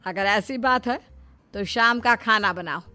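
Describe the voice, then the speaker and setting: A woman's voice: fairly high pitch, measured pace, sharp tone, faint music behind. A woman voicing a bossy middle-aged mother-in-law in a dramatic, emphatic cartoon style. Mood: firm. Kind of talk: Hindi cartoon story acting.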